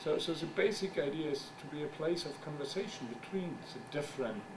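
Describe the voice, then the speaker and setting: A middle-aged man whose first language is German gives a conference talk as a journal editor. He speaks English haltingly, searches for words, and makes wry asides. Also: a little high, animated. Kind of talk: conference presentation. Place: conference room. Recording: distant mic with background noise.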